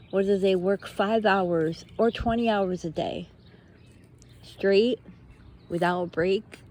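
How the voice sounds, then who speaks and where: A woman giving an unscripted, reflective monologue while out walking in nature, thinking aloud in halting, drawn-out phrases. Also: medium pitch, warm tone. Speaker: host of a personal walking monologue. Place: outdoors on a walk in nature.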